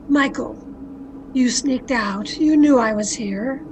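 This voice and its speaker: An actress playing a jealous, murderous mystery novelist in a radio drama, with deliberate melodramatic delivery over a home call recording. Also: female voice, sits high, animated, noisy background, full character voice. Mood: tense, accusing. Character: jealous, murderous woman mystery novelist confessing her crimes. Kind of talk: dramatic first-person radio mystery narration. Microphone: video call.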